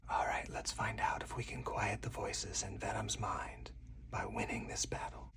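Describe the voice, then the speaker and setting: Someone speaking in a breathy, deliberate, hushed whisper, in the style of an ASMR game playthrough with commentary. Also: deep voice, little pitch movement, far from the mic. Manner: slow, deliberate, hushed delivery. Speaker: solo card game player and host.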